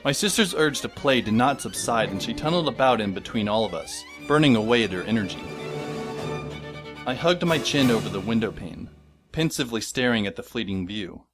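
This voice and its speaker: A man voices a wry teenage boy narrating an audio drama in a dry, deadpan way. His pitch sits in a middle range. Music plays clearly behind him. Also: brisk, close to an everyday voice.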